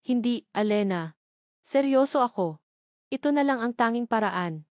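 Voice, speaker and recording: A woman's voice: a little high, expressive, moderate pace, a little mellow, no room sound, natural voice. A woman narrator voices a middle-aged father in a romantic audio drama. He speaks with earnest desperation and shame, recorded close and dry. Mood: grave. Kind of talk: audio drama story reading.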